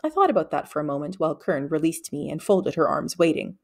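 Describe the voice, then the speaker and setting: Expressive woman storyteller, lowish pitch. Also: fast talker, vocal fry. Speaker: audiobook reader.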